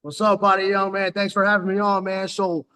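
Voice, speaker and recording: A man in his forties, raised in San Diego, tells his story with a rambling, streetwise flow peppered with "dog." His voice sits notably high. It carries a long, hall-like reverb. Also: level tone, fairly quick, pushed and bright, smooth. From Texas, raised in San Diego. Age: 40s. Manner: streetwise slang, says "dog" often, rambling. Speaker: guest. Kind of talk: casual storytelling interview.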